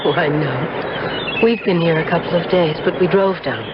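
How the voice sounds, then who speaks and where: A woman's voice: on the low side, unhurried, close to an everyday voice. An actress playing a polite, coy woman on holiday in a 1970s radio drama. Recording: very noisy, dull 1970s radio recording.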